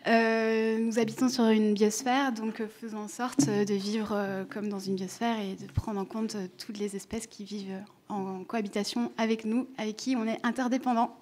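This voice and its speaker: A woman's voice: on the high side, little pitch movement, normal speed, few pauses, a little mellow. A woman on a panel giving short, hesitant closing remarks with many fillers, heard from a distance.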